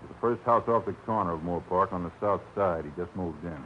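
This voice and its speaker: Actor doing a gruff sneering tough guy with a gun, low voice, dull old radio sound with a bit of hiss. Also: brisk, natural voice. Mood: threatening. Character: red-faced male gunman, tough and sneering. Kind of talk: 1940s radio acting.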